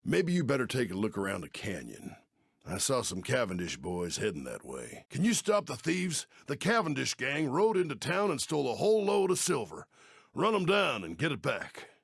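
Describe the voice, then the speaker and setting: A man's voice: mid-range voice, wildly swooping pitch, normal speed, gravelly, fairly bright tone, slightly rough, no room sound, studio quality, full character voice. A man playing a folksy, aging, kindly but worried Old West sheriff in a video game, with an American Western accent and neighborly, drawling speech. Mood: alarmed, urgent. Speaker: mission-giving game character. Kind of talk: video game character acting.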